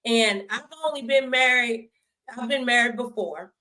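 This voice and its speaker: A woman's voice: on the high side, normal speed, rough recording. A middle-aged woman chats candidly and animatedly as the host of a faith-based talk show. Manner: animated, candid, acts out quoted remarks.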